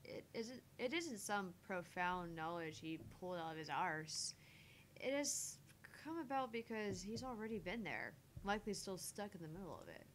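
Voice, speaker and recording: A woman's voice: expressive, moderate pace. A woman co-hosting a livestream, talking casually in a frank, self-deprecating way. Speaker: livestream co-host of a gaming channel. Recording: noisy mic with low rumble.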